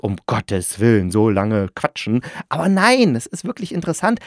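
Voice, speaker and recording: A man's voice: a little high, dry recording, studio quality. A man hosting a podcast in a casual, chatty style, often correcting himself as he reviews. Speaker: podcast host and radio drama reviewer.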